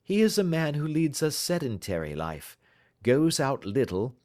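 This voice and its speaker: A man voicing a brilliant, self-assured detective in an audiobook, lecturing crisply and precisely with a teasing edge. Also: on the high side, big pitch swings, a little slow, darkish tone, pitched above the performer's own voice. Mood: analytical, assured. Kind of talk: audiobook character dialogue.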